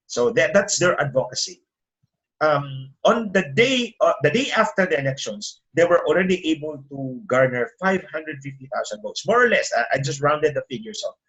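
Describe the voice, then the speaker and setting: Filipino man, indignant, rising pitch. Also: fairly bright tone, rough recording. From Philippines. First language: Tagalog. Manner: indignant, rises sharply in pitch when making a point. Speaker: attorney and guest. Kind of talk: interview over a call.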